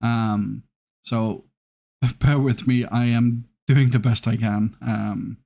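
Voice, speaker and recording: A man with a Scandinavian accent carrying traces of Irish talks his way through a game. His speech is slow and unhurried, full of pauses and restarts, at a middle pitch. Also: steady tone, vocal fry, mellow. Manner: hesitant, many ums and restarts, unhurried. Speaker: gaming YouTuber. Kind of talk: casual gameplay commentary.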